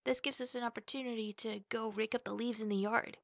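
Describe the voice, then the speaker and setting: Woman acting as a creaky-voiced, playful big sister. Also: fairly high pitch, steady tone, dry recording. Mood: eager, planning. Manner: chatty, playful, with drawn-out words and hushed asides. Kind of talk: ASMR roleplay, spoken to the listener.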